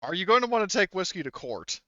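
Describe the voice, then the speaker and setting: A man's voice: high voice, very animated, fast talker, bright, forceful tone, no echo. A man running a tabletop game as game master, narrating and chatting casually through slightly dull audio.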